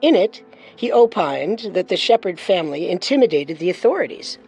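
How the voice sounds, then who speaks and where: A woman's voice: on the low side, very animated, moderate pace, darkish tone, husky, soft background music, rough recording. A woman hosting a true-crime show with scripted narration. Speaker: host and narrator.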